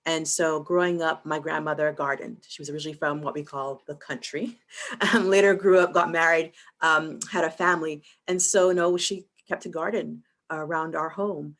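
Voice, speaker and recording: A woman from Barbados speaking as a museum panelist on a video call, thoughtful and measured, often pausing with "um" and "and so". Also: low voice, fairly even intonation, a bit bright. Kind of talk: online panel discussion.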